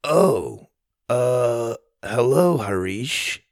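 A man performs an excitable young boy in a children's adventure audiobook. The delivery is eager and exclamatory, with very lively pitch movement. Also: very slow, gravelly, no echo, studio quality, heavily acted. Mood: awed, eager.

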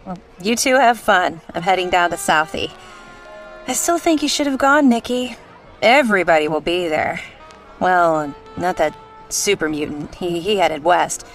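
Chatty, caring detective's secretary. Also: female voice, fairly low pitch, lively intonation, a little slow, faint music behind, poor audio. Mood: chatty, nudging. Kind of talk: radio drama acting.

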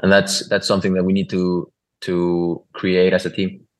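A young Icelandic footballer with an Icelandic accent, answering interview questions in halting, plain-spoken English with many restarts. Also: male voice, low-pitched, completely flat delivery, darkish tone. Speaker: professional footballer guest.

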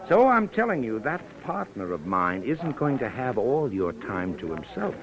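An actor playing a smooth, pushy small-town miner in an old-time radio drama, folksy and insistent. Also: male voice, mid-range voice, very animated, normal speed, close to an everyday voice. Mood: flirtatious, cocky. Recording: noisy vintage radio recording.

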